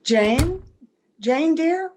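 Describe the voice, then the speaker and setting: A woman acts out a wistful, ageless young heroine of an old-time radio play. She is tender and earnest, with a fairly high, gentle voice. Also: lively intonation, very slow, slight background noise, heavily acted. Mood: pleading.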